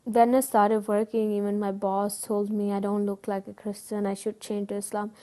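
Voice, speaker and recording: A woman's voice: medium pitch, level tone, normal speed, dark tone. Pakistani woman, earnest and hesitant. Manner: earnest, hesitant, many fillers and restarts. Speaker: guest telling her story. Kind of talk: personal testimony.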